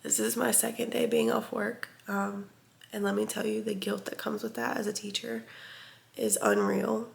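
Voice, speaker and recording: A woman's voice: mid-range voice, animated, normal speed, gravelly. A young woman, sick and congested, chatting casually and candidly to her vlog viewers in a stuffed-up, hesitant, meandering way. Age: young adult. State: sick with congestion and chest cough. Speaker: vlogger. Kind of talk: casual confessional vlog chat.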